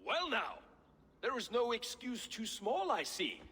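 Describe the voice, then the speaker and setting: Brief: a sarcastic, resentful Viking, high swinging pitch, echoing hall. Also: male voice, huge pitch swings, measured pace, bright, forceful tone, slightly rough, full character voice. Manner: sarcastic, needling. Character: sneering, resentful male Viking warrior. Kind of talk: dramatic video game acting. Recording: reverberant hall, faint ambient noise.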